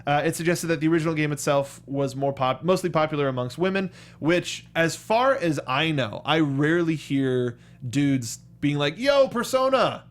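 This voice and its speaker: Higher-pitched man co-hosting a casual talk show. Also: lively intonation, moderate pace, hardly any pauses. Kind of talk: casual chat about games and anime films.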